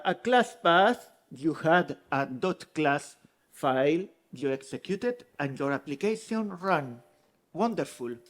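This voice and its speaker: A man from Spain with a mixed Spanish and French accent, earnestly explaining as a conference speaker. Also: high-pitched. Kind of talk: conference talk. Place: conference hall.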